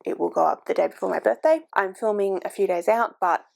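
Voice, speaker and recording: A woman's voice: medium pitch, brisk, gravelly. A woman in her forties chatting casually at home about books, rambling with frequent ums and self-corrections. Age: 40s. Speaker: book YouTuber. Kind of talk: casual booktube chat. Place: home, with a cat on the microphone. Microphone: desk microphone.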